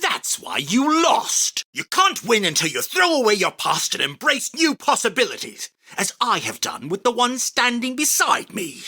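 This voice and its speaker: A man's voice: extremely high pitch, huge pitch swings, normal speed, few pauses, bright, forceful tone, exaggerated voice. A male voice actor playing an arrogant, grandiose self-proclaimed king of card duelists, with a pompous, commanding, theatrical delivery for a video game. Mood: haughty, proud. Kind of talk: video game character battle lines.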